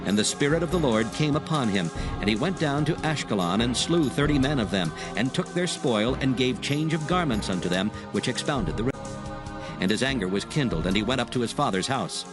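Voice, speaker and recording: Expressive male scripture narrator over music. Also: medium pitch, a little fast.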